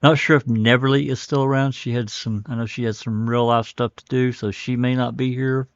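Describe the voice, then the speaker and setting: A man's voice: mid-range voice, fairly even intonation, a little fast, dry recording, highs cut off. A man hosting a casual online panel discussion, agreeing with guests and thanking each one. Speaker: podcast host.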